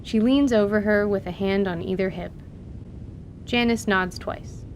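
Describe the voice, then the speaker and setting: A woman narrates an audio drama from a script. Her voice is mid-pitched, and the recording carries clear noise and a low rumble. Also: measured pace.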